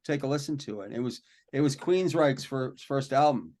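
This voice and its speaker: A man, a rock and metal singer, telling stories from his life in a relaxed, rambling, self-deprecating way during a podcast interview. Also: mid-range voice, normal speed, a crowd in the background. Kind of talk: casual interview storytelling.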